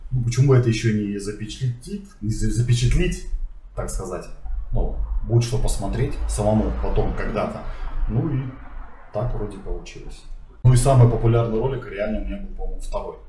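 A man's voice: lowish voice, a little fast, dark tone. A man hosting a travel vlog, chatting casually and telling stories while cooking in a home kitchen. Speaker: vlog host. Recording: roomy kitchen from far off, faint hiss.

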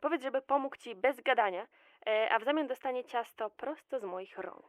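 A woman's voice: a little high, moderate pace, dry recording, studio quality, lightly acted. A woman playing a chatty, motherly office worker who soothes and coaxes with endearments in an audio drama. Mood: calm, confident. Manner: soothing, coaxing, full of endearments. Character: chatty, motherly female office fixer, reassuring and gossipy. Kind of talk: amateur audio drama acting.

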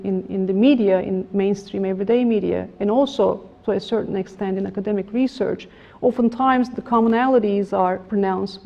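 Middle-aged woman academic lecturer, gravelly low-key tone, measured delivery, dull lo-fi room sound. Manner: measured, formal, frequent restarts and fillers. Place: lecture room with projector screen. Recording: slightly dull lo-fi room recording.

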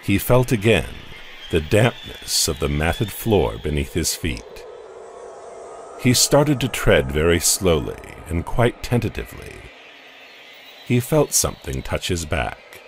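Deep-voiced guy narrating a horror comic, slow and expressive, faint hiss behind. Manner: slow, deliberate, dramatic.

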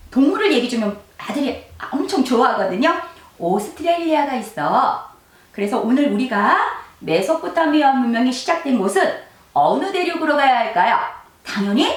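A middle-aged woman teacher speaks in a high voice with swooping, animated intonation. She explains conversationally, putting questions to her listeners. The sound is roomy and echoing. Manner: conversational, asks rhetorical questions. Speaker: history teacher. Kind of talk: explanatory lesson for mothers. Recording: echoey room, distant microphone.

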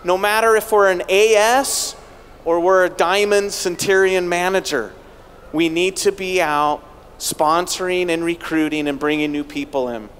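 A man's voice: sits high, a bit bright, clean tone. A middle-aged man giving a motivational keynote speech on a large arena stage into a handheld microphone, rallying the crowd with rhetorical questions. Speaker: keynote speaker. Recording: long arena reverb.

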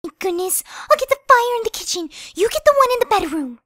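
A woman voicing a gentle, timid young mother pony in a fan-made cartoon radio play. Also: very high-pitched, sing-song, quick pace, no room sound, studio quality, full character voice. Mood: worried. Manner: soft-spoken, timid. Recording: loud music behind the voice.

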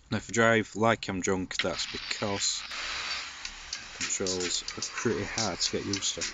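A man's voice: on the low side, steady tone, slow, pauses often, background noise. A man with a head cold, chatting casually as he plays through a game, making joking asides. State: stuffy with a cold. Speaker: gaming channel host. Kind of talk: casual let's-play commentary.

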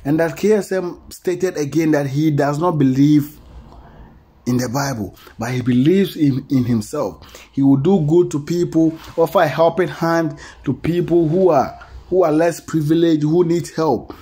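Male commentator, higher-pitched husky voice, emphatic and repetitive, informal entertainment news delivery. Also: fairly even intonation, a little slow, warm tone. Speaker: commentator introducing an interview clip.

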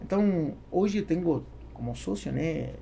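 A middle-aged man with a Spanish accent gives conversational answers on a podcast. His voice is dark and mellow with a gravelly creak, and he halts often to search for words. Faint noise sits behind the studio microphone. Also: on the high side, lively intonation, unhurried. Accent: Spanish-accented Portuguese. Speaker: podcast guest, business executive. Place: small foam-treated podcast studio. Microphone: close boom-arm studio microphone.

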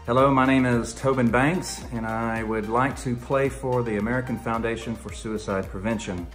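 A man in his forties making a halting video appeal, with many pauses and fillers. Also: medium pitch, steady tone, a bit bright, soft background music. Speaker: contest entrant. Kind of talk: self-recorded video appeal.

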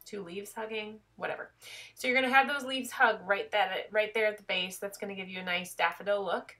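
Congested woman with a bright voice. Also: mid-range voice, fairly quick. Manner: chatty, self-deprecating, lots of "um" and "gonna". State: congested from a head cold. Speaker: craft tutorial host. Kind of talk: casual step-by-step craft tutorial.

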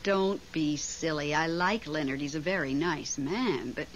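An actress playing a nervous woman confiding over the telephone in a 1970s radio drama, anxious and halting. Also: deep voice. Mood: wistful, casual. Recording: dull, noisy 1970s radio broadcast recording.

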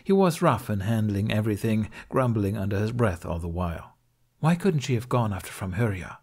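A man's voice: lowish voice, expressive, a little fast, darkish tone, husky. A man reading scripted fiction as an audiobook narrator.

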